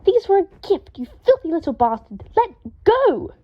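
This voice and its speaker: A woman's voice: very high voice, very animated, moderate pace, lo-fi sound, voice pushed up. A woman voicing a fussy, dramatic young wizard man who gets indignant and seethes, in an audiobook reading. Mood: furious. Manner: seething, hysterical outbursts, stiffly formal when restrained. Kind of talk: audiobook character voice.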